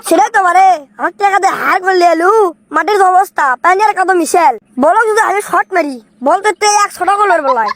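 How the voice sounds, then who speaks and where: A woman's voice: extremely high pitch, fast talker, noisy background. A woman voicing a stubborn, grumbling village youngster in a comic cartoon, with a rural northern Bangladeshi accent. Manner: grumbling, argumentative.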